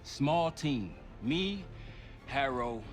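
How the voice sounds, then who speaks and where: A cool-headed special operative from a military action game. His mid-pitched voice is terse and matter-of-fact, with a defiant streak toward authority. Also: expressive, very slow, over music. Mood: focused. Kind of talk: cinematic military thriller game acting.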